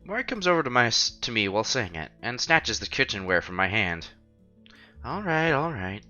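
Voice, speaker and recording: Bright, animated man reading aloud. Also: mid-range voice, moderate pace. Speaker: streamer playing a visual novel. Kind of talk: reading story text aloud with casual commentary. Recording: dull lo-fi sound, music behind.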